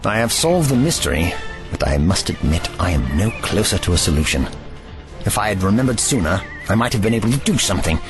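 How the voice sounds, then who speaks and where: A man's voice: low-pitched, big pitch swings, brisk, music in the background. A man playing a grave, erudite ancient time traveller in an audio drama, with formal, slightly pompous phrasing.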